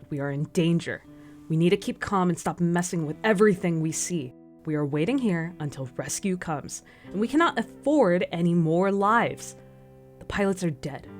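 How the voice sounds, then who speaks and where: A woman's voice: on the low side, close to an everyday voice. A woman playing a stern, protective captain of a stranded crew in a radio drama, firm and commanding. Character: stern, protective female crew captain, stressed but caring.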